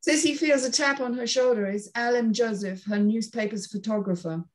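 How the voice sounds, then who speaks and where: A woman's voice: on the high side, brisk. Woman reading screenplay stage directions. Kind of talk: scripted narration at a screenplay table read.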